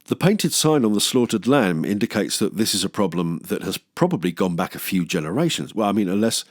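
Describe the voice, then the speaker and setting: A man's voice: fairly low pitch, sing-song, fairly quick, dry recording, clean studio sound. A man hosting a film review show, moving between scripted analysis and wry, sweary, joking asides. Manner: wry, joking, sweary, acts out imagined quotes.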